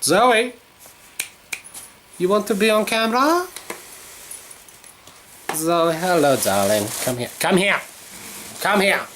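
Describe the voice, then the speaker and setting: A man's voice: sits high, sing-song, slow pace, pauses often, a bit bright, a little hiss or hum. A man chatting casually in a home vlog, rambling, swearing and poking fun at himself. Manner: rambling, sweary, self-mocking. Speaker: vlogger.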